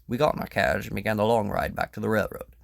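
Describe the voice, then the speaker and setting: A man's voice: on the low side, quick pace, vocal fry, no echo, studio quality. A man reading scripted story narration as the narrator of a drama.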